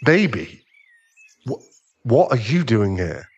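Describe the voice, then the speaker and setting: A man playing an irritable, weary sugar daddy boyfriend who wants space, in a halting, sighing ASMR roleplay audio drama. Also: mid-range voice, very animated, husky, lo-fi sound, exaggerated voice. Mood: hesitant, placating.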